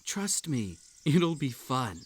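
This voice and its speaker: An unhinged bunny tea-party host, acted by a man, speaks at an unhurried pace in a medium-pitched voice. The delivery is coaxing and overly polite, with a possessive, unstable edge suited to a sinister roleplay audio drama. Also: sing-song, husky, exaggerated voice. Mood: seductive, inviting. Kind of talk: scripted roleplay audio drama addressed to the listener.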